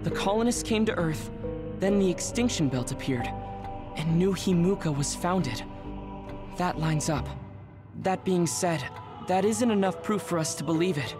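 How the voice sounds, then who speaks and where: A woman's voice: fairly low pitch, expressive, unhurried, music in the background. A woman playing a cool, skeptical young soldier in a video game, composed and matter-of-fact. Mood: cool, analytical. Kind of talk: scripted video game voice acting.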